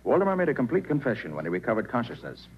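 An actor playing a confident male private detective in 1940s radio style, with a brisk, authoritative manner. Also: medium pitch, huge pitch swings. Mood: explaining. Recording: muffled, noisy 1940s radio recording.

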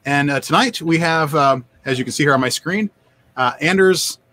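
An older man, hosting a casual live stream in a halting, self-deprecating way. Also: a little high, pushed and bright. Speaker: host, developer advocate. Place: indoor home room, on a video call.

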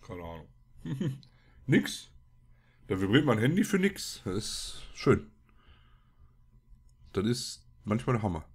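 A man's voice: lowish voice, very animated, takes it slowly, pauses often, a little mellow, slightly rough. A man with a cold and a scratchy throat, giving casual, colloquial gameplay commentary as he mutters to himself. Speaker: let's play host.

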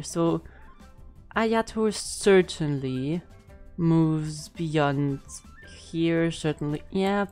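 Young female streamer, lowish pitch, informal reaction style, tentative thinking-aloud delivery, some music underneath. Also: darkish tone. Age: young adult. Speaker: reaction video host and streamer. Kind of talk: casual reaction commentary. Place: indoor room at home.

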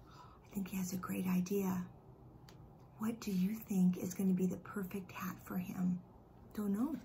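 Expressive American kindergarten teacher, middle-aged woman. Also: mid-range voice, a little slow, noisy background. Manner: expressive, simple explaining with questions to children. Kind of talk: read-aloud and chat to young children. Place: living room at home.

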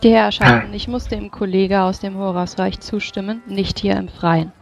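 A woman playing a tabletop role-playing game, describing her character with a creaky voice. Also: medium pitch, steady tone, moderate pace.

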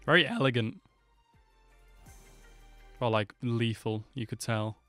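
A man's voice: medium pitch, slow pace, fairly bright tone. Young man reacting casually, music behind. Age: 20s. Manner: slangy, easily impressed. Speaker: YouTube reaction host. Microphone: close desk microphone with pop filter. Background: music from game trailers.

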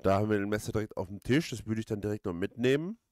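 A man's voice: lowish voice, very fast, a little mellow, no room sound, clean studio sound. A man giving casual let's play commentary, rambling and hesitant as he thinks aloud. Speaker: let's player, host.

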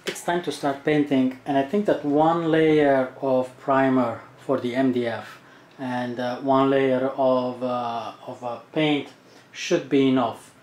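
A man's voice: medium pitch, fairly even intonation, slow, darkish tone, distant mic. Man explaining DIY work in echoey room. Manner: explains steps plainly as he works. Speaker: home cockpit builder. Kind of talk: DIY tutorial narration. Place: indoor room at home. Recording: roomy echo, voice off-mic.

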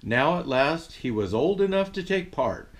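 An older man playing Santa reads a children's story aloud in an expressive, jolly manner. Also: on the high side, unhurried. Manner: expressive, jolly delivery with chuckles. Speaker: storyteller dressed as Santa Claus. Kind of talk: animated storybook reading for children.

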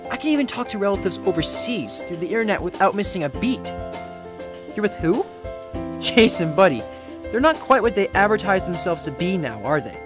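A young man performing commercial voiceovers in a studio, with a hushed, intimate and measured delivery. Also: high-pitched, big pitch swings. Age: young adult. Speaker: voice actor. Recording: music bed behind. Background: music beds.

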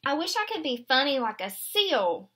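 A small, wistful chameleon from a children's storybook, voiced by a woman reading aloud. The voice is high and swings expressively, full of longing. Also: sing-song, moderate pace, a bit bright, slight background noise. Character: small chameleon, wistful, wishing to be other animals.

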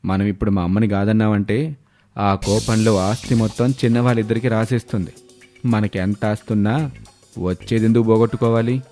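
Man doing a deep-pitched, scheming elder son. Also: fairly even intonation, a little fast, mellow, faint music behind, natural voice. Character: scheming, placating elder son, greedy for inheritance.

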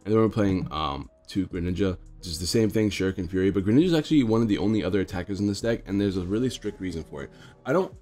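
A man's voice: sits low, lively intonation, rapid-fire, darkish tone, soft background music. A young man with a stuffed-up nose casually explaining his card deck to viewers, rambling and talking to them as guys. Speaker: card game streamer. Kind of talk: casual deck tech commentary.

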